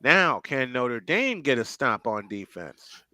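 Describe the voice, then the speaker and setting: A man doing live play-by-play commentary on a football game, surging in pitch and volume on big plays and often repeating phrases. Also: very animated, slow pace, pushed and bright, dry recording. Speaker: play-by-play announcer.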